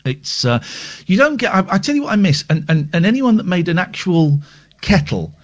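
A man hosting a late-night radio phone-in, rambling casually and cracking crude deadpan jokes. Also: sits high, a little fast, muffled recording. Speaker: radio host.

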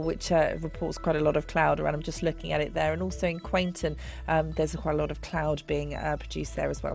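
A woman reads the radio weather forecast, chatty and informal, with little fillers here and there. Her voice sits on the low side, with faint music underneath. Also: vocal fry. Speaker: weather presenter. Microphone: studio mic.

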